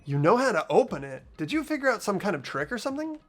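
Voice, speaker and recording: Earnest, nervy male student lead acted by a man, game dialogue and narration, higher register, very expressive. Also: fast talker. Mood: puzzled. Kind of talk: amateur voiced video game playthrough, dialogue and narration. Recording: music behind the voice.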